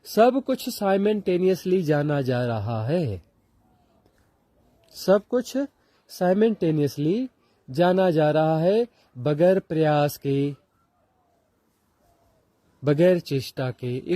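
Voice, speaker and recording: A man with an Indian accent teaching a guided meditation, speaking deliberately in short repeated phrases with pauses. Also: a little high, expressive, warm tone, no room sound. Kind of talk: guided walking meditation instruction.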